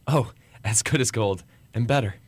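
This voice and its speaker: Humble family man acted by a man, gentle, affectionate, earnest, mid-pitched, radio drama. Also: moderate pace, slightly rough, lightly acted. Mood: tender.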